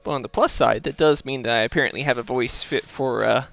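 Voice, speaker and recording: A man's voice: medium pitch, fast talker, creaky, muffled recording, soft background music. A young man from the East Coast of the US, casually commenting on his moves while playing and chatting with viewers. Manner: relaxed, talks through his moves and reads chat aloud. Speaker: streamer playing a board game.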